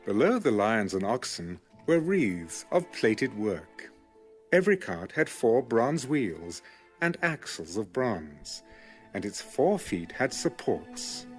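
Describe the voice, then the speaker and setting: A man reading scripture as an audiobook narrator in a measured, formal manner. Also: fairly low pitch, sing-song, faint music behind.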